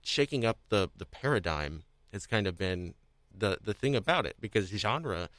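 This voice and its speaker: A man with a fairly low voice, recorded close and dry. He chats casually as a podcast co-host, rambling and circling back as he works out his phrasing, with a self-deprecating, jokey streak. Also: big pitch swings, normal speed, a bit bright, no echo. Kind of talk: casual panel chat.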